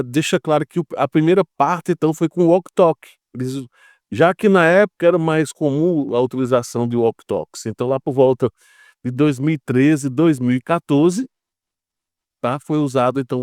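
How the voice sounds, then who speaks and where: A man's voice: a little high, a little mellow, no room sound, studio quality. A man with a Brazilian Portuguese accent giving informal news commentary as an online geopolitics commentator, explaining step by step and often checking in with short tag questions. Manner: explanatory, peppered with tag questions like "right?" and "okay?".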